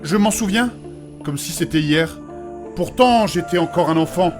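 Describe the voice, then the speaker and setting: A man's voice: high voice, expressive, moderate pace, over music. A man playing a courteous, solemn nobleman with old-fashioned formal diction in a fantasy audio drama. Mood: wistful. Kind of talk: French fantasy comedy audio drama acting.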